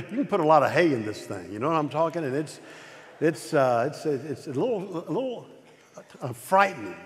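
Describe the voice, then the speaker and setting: A middle-aged man preaching a sermon at a pulpit in a large church auditorium, talking with a stutter, frequent repeats and restarts. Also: a little high. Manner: gestures broadly at a pulpit. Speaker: pastor. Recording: long reverb of a large hall.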